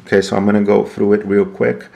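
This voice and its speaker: Gravelly, very low-pitched man explaining gear. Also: level tone, fast talker, warm tone. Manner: explanatory, step by step, asks and answers own questions. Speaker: product reviewer. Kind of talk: casual unscripted equipment walkthrough.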